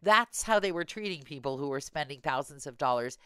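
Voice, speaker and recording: Middle-aged woman, podcast host, clear resonant voice, low register, wry humor, casual chatty storytelling. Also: animated, fairly quick, a bit bright, no room sound, clean studio sound. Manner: wry, playful exaggeration.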